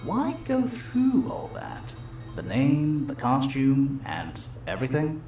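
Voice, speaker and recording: A man's voice: mid-range voice, wildly swooping pitch, takes it slowly, mellow. Baffled male game detective: swooping, incredulous questions, echoey, music behind. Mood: puzzled, incredulous. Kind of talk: scripted video game dialogue.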